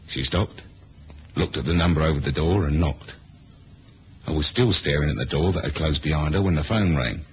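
A man's voice: rumbling low, slight background noise. A man playing a cocky, wry British spy who chases women, acting and narrating in a laid-back, slangy way in a 1970s radio drama. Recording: dull, lo-fi old radio recording.

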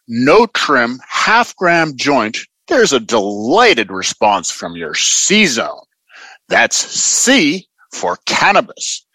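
Guy doing old-time radio ad announcer, slow earnest sales pitch, dry close sound. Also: fairly bright tone, no echo, full character voice, low-quality recording. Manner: salesmanlike, deliberate. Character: smooth, earnest vintage radio commercial announcer.

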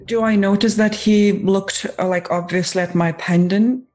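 A woman playing a tabletop roleplaying game, improvising in character with hesitant, halting phrasing. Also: mid-range voice, steady tone, a little mellow.

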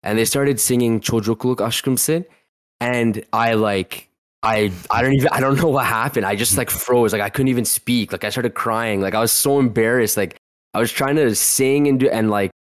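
A man's voice: mid-range voice, no echo, clean studio sound. A man in his twenties, a guest telling a personal story in a casual interview, rambling with lots of restarts. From Canada. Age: 20s. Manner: rambling, heavy use of "like", frequent restarts.

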